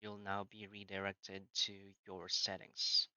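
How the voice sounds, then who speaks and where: A man talks through a software setup step by step, his lowish voice carrying a very bright, sharp edge. He leans on "so" and "uh" between plain instructions. The sound is dry and dull, like a modest lo-fi mic. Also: completely flat delivery, unhurried, smooth, no room sound. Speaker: software tutorial narrator. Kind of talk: screen-recorded walkthrough narration.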